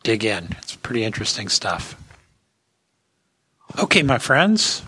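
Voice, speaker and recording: A man's voice: fairly low pitch, sing-song, measured pace, pushed and bright, slightly rough. A man hosting a podcast with casual industry commentary, speaking to listeners in a folksy way. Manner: folksy, addressing listeners as friends.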